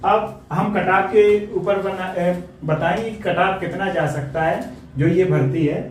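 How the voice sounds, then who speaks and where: A man's voice: high-pitched, fairly even intonation, measured pace. A man, co-presenting an informal coaching lesson and explaining things to viewers. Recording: very echoey room, distant mic, faint noise.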